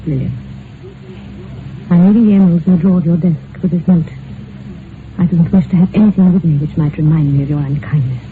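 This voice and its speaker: A proud, unhappy young British wife, played by an actress, speaks with genteel poise and arch, wounded irony. It is old radio drama, heard through a thin, tinny band with light background noise. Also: female voice, lowish voice, fairly quick, pauses often, natural voice. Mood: solemn, resolute. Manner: genteel, poised, archly ironic. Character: proud, unhappy young wife of a rich husband, wistful and cutting.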